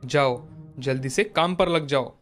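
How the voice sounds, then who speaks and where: A man portrays an office boss in a cartoon tale, brisk and in charge. He is approving yet businesslike, at an average male pitch. Faint music sits under the words. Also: a bit bright, lightly acted. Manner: authoritative, businesslike. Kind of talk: Hindi moral cartoon story dialogue.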